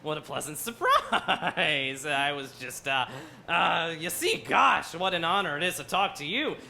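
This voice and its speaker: An actor playing an officious, blustering space-fleet admiral with a gravelly voice, recorded live in a stage comedy. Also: mid-range voice, very animated, unhurried, pushed and bright, a little hiss or hum, heavily acted. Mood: flustered, fawning. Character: flustered, pompous military admiral turning fawning. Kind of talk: musical comedy dialogue.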